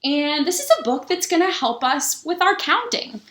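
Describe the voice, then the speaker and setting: A woman pastor reading a children's book aloud for story time, pausing to explain things simply to young listeners. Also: high voice, big pitch swings, fairly bright tone. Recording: roomy, echoing sound.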